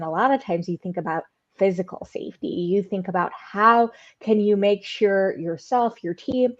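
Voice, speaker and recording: A woman's voice: mid-range voice, no room sound, highs cut off. A woman speaking earnestly and emphatically as a panelist in an online discussion. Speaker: HR founder, panelist.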